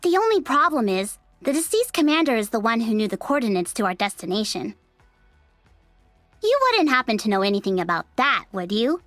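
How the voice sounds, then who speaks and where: A woman playing a brash, cheeky young female android soldier in a video game, sceptical but easygoing, with a sassy, expressive delivery. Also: fairly high pitch, brisk, dry recording, faint music behind. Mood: wry, casual. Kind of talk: English video game voice acting.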